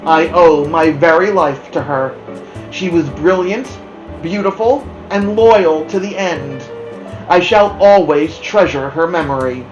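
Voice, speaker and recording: A woman's voice: on the low side, measured pace, reverberant, highs cut off, music in the background, natural voice. A woman voicing an earnest, grateful male pig from a children's storybook in a heartfelt, solemn manner. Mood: solemn, devoted. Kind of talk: children's storybook character reading.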